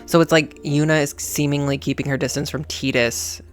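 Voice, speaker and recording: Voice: mid-range voice, level tone, fairly quick, soft background music. A reaction host giving casual, chatty and playful commentary on a game story, with slangy phrasing.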